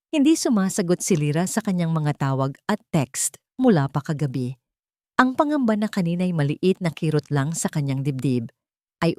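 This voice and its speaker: A woman's voice: deep voice, a little mellow, no echo, studio quality. A woman narrating a scripted romantic drama story in a dramatic storytelling style.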